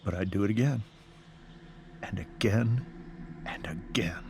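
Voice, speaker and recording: A man playing a stern, protective middle-aged father in a video game drama, speaking gravely and deliberately. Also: fairly low pitch, a little mellow, slight background noise. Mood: bitter, resolute. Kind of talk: dramatic game cutscene acting.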